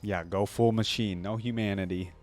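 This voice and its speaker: A man's voice: on the low side, fairly even intonation, normal speed, a little mellow, soft background music. A man hosting a reaction video, commenting casually and with easy, amused enthusiasm.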